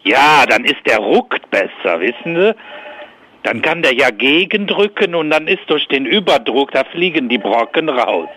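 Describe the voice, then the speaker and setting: Man with a lively swing in pitch. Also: unhurried. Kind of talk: phone conversation.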